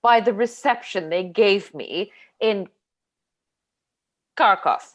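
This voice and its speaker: An actress playing a vain, lively aging stage star and mother, theatrical and self-satisfied, in a play reading over a video call. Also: mid-range voice, slow pace. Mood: boastful, delighted. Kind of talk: cold read of a classic stage play.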